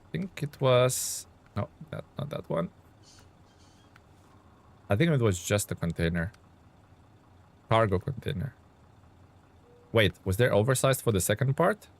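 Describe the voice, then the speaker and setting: A man's voice: lowish voice, gravelly. A man from Lithuania chatting loosely on a live game stream, reading out and answering viewer comments with plenty of fillers. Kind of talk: casual live-stream chat.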